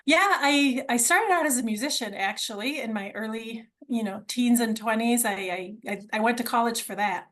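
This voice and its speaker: A woman's voice: a little high, moderate pace, hardly any pauses. A middle-aged woman originally from Argentina, telling her story as a podcast guest in an enthusiastic, conversational way, often repeating words as she restarts.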